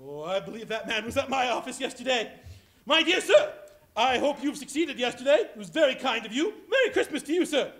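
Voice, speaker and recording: Give me a joyful old miser, played by a man on stage, high and sing-song, exclaiming and laughing through every line. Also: very animated, fairly quick, fairly bright tone, full character voice. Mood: warm, apologetic. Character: elderly male miser, joyful and giddy. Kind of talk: live stage reading. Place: large hall on a stage.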